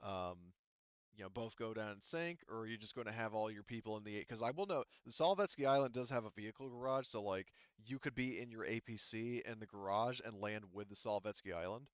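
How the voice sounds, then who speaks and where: A man running a tabletop roleplaying game as game master, casually narrating and thinking aloud in a halting way. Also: on the low side, smooth, no echo, muffled recording.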